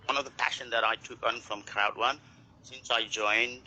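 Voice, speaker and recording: Earnest man from Nepal, bright voice. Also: mid-range voice, steady tone, normal speed, a little hiss or hum, rough recording. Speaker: network marketer. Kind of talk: casual group chat.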